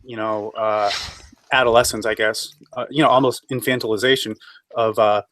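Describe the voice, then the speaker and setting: A man speaking haltingly and repeating phrases as a panelist in a casual political discussion on an online call. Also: lowish voice, little pitch movement, a bit bright, no room sound, rough recording. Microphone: online group voice call.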